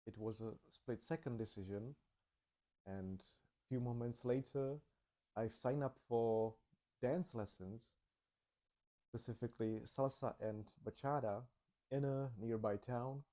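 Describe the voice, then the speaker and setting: Slow, mellow-voiced man. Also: medium pitch, fairly even intonation. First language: Czech. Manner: slow, measured, thoughtful delivery. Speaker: channel host. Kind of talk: reflective personal narration.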